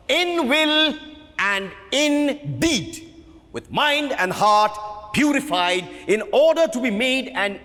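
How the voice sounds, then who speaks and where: A man with an Indian accent playing a fiery, imperious theatre director in a declamatory stage reading, pausing dramatically and stressing key words. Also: very high-pitched, sharp tone, exaggerated voice. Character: fiery, imperious male theatre director haranguing his actors. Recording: reverberant hall.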